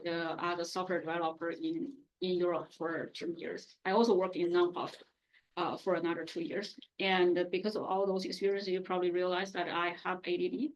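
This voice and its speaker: A woman speaking on a live panel with a fairly low voice. She sounds straightforward and brisk. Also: poor audio. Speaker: product manager panelist. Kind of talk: casual panel introduction.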